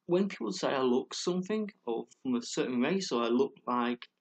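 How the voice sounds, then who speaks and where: Guy venting on his vlog, lots of ums and repeats, cusses when he gets worked up, normal pitch. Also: lively intonation, moderate pace, vocal fry. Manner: rambling, hesitant, with many fillers and repeated words; swears a lot and gets heated. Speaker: vlogger. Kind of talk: ranting monologue to camera.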